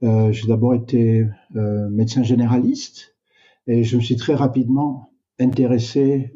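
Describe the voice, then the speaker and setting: An older man talks slowly, stopping often to search for his words. His voice is very dark and mellow. The recording is dull and lo-fi. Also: mid-range voice, flat delivery. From Canada. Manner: slow, reflective, many hesitations. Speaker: guest, doctor. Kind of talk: interview answers. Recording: dull, lo-fi sound.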